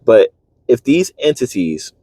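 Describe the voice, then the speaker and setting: A man reading and reflecting on spiritual ideas in an earnest, halting manner, often posing rhetorical questions. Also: mid-range voice, big pitch swings, warm tone, breathy edge, no echo. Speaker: reader and commentator.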